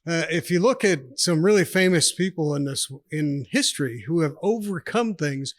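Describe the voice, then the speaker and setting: Give me a man in his sixties telling rambling stories in a slightly high voice with a dry sound. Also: expressive, moderate pace, dry recording. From New York State, USA. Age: 60s. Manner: chatty, rambling, laughs often. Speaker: podcast guest, retired army officer. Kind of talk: casual storytelling interview.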